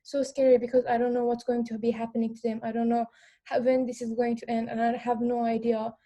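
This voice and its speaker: Young female speaker from Afghanistan, English second language. Slightly high, mellow voice, hesitant phrasing, informal panel delivery. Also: completely flat delivery. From Afghan, lived in Iran. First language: not English. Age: young adult. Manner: halting, repeats words, frequent "like" and "you know". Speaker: panel speaker, former refugee. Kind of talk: informal panel talk, personal account.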